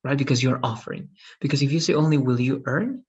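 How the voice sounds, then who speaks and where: Man teaching English over a call, husky. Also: mid-range voice, fast talker, a little mellow, dull audio. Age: 30s. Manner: patient, checks understanding with frequent "okay?" and "right?". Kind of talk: online English class.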